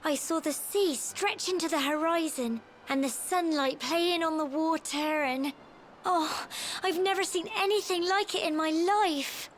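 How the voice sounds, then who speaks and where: A young woman voicing a sweet, earnest village girl in a video game, gentle and wide-eyed. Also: very high-pitched, unhurried, slight background noise. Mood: happy. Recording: clear music underneath.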